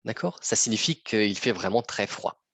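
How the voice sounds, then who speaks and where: Male teacher, patient and repetitive, dry close sound, low-ish breathy voice. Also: animated, normal speed, fairly bright tone, no echo. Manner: patient, repeats phrases, often checks with "d'accord?". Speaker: French teacher. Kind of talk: slow lesson for learners.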